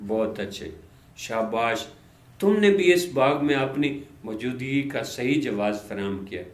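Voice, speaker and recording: A man's voice: medium pitch, expressive, roomy sound, pitched below the performer's own voice, natural voice. Man playing a commanding, inquisitive storybook king. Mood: pleased.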